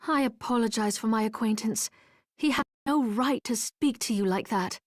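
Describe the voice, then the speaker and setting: A woman playing a composed, gently authoritative ally in a video game, speaking in a measured, formal way. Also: fairly high pitch, dry recording, studio quality, close to an everyday voice. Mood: reassuring.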